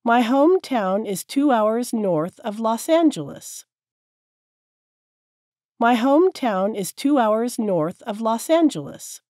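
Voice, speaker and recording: A woman teacher reading short sentences slowly and clearly, each one said several times for learners to repeat. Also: a little high, big pitch swings, darkish tone, no room sound, studio quality. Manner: careful, clear enunciation. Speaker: English teacher. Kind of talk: slow repeated drill sentences.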